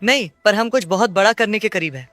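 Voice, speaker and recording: Young male game hero: high voice, boyish, earnest, casually hesitant, music underneath. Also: a little fast, a bit bright. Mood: playful, earnest. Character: earnest young man, friendly secret vigilante hero. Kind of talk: Hindi-dubbed video game dialogue.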